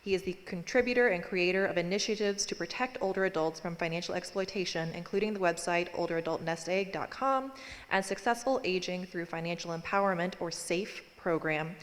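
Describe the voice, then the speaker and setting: A lower-pitched woman gives a formal speaker introduction from a prepared bio, her delivery steady and measured. Her voice carries the long reverb of a large conference hall. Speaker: postdoctoral researcher introducing a speaker.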